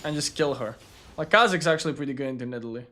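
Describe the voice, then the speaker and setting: A young man whose first language is Greek, streaming a game and chatting casually with viewers, relaxed and full of fillers. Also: mid-range voice, very fast, slight background noise. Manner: relaxed, filler-heavy, lots of "like". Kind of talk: casual gaming commentary, answering chat.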